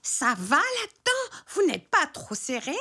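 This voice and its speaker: Chatty granny zebra, high and lilting. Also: female voice, wildly swooping pitch, measured pace, sharp tone, full character voice. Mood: fussing, flustered. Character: chatty, doting, scatterbrained elderly granny zebra. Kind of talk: French children's cartoon dubbing.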